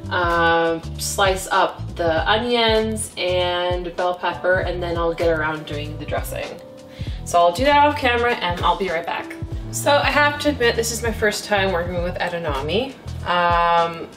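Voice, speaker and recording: A woman in her thirties talks through a cooking vlog in a casual, rambling way, full of ums and little self-deprecating jokes. She sits at a middling pitch and is picked up from across the kitchen by the camera. The room rings and music plays underneath. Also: fairly bright tone. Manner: chatty, self-deprecating, thinking aloud with fillers. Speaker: cooking vlogger. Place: home kitchen, indoors. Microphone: camera mic from across the kitchen. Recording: roomy kitchen, distant mic, music behind. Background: background music.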